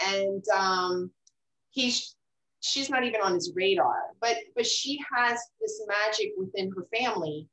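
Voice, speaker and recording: A woman's voice: medium pitch, unhurried, a bit bright, reverberant, rough recording. A woman author who talks about her writing in a casual interview, hesitant and full of fillers and repeated words. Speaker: guest author.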